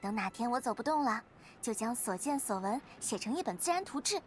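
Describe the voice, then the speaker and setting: An eager, bookish young adventurer voiced by a woman from an anime-style game. The voice is very high and youthful, expressive and full of earnest curiosity. Music plays clearly underneath. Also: a little fast, lightly acted. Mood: dreamy, curious.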